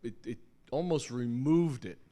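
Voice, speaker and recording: A man's voice: medium pitch, measured pace, dark tone. A man who acts, speaking from an audience and giving casual critique. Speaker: audience member and fellow actor giving feedback.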